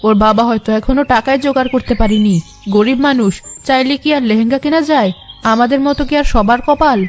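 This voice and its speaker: Cartoon snooty mum, female actor: high-pitched, condescending, scolding, contemptuous, light music behind. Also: fast talker, a little mellow, close to an everyday voice. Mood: scornful. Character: haughty, scornful village mother looking down on poor. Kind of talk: cartoon drama dialogue.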